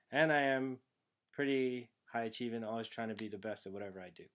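A man from Trinidad and Tobago, a young adult to his thirties, giving a prepared class video essay, earnest and steady, with some "uh" fillers, recorded on a webcam in a small room at home. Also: medium pitch. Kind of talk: prepared personal presentation. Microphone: built-in webcam microphone, close framing.

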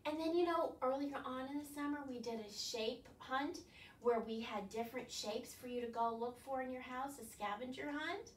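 An older woman leading a children's storytime as a librarian, animated and instructing, talking directly to young viewers with questions. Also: sits high, normal speed, slight background noise. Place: small living room, indoors. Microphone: far camera. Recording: roomy, distant camera microphone.

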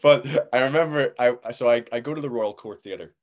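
A young man with a Northern Irish accent, a guest actor telling stories in a casual podcast chat, animated and laughing often. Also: medium pitch, normal speed, highs cut off. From Northern Ireland.

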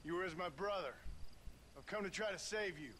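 A man's voice: sits high, fairly quick, bright, forceful tone, a little hiss or hum. A grim ex-outlaw gunman. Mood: pleading. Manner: earnest, pleading.

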